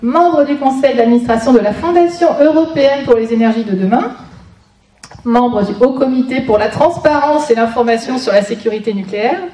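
A woman with a fairly high voice gives an informal lecture, dropping dry, sarcastic asides as she goes. She is picked up from a little distance in a very echoey room. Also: brisk, dark tone, a little hiss or hum. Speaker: guest lecturer, author. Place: conference room before an audience.

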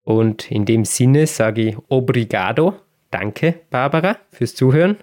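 A man's voice: mid-range voice, unhurried. Easygoing male podcast host. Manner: relaxed storytelling with occasional laughs and hesitations. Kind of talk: casual podcast chat.

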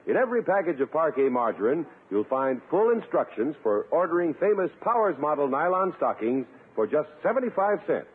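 A man's voice: on the high side, slightly rough. A man announcing in polished, emphatic 1950s radio style, reading scripted ads and credits. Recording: narrow-band 1950s radio recording. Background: music.

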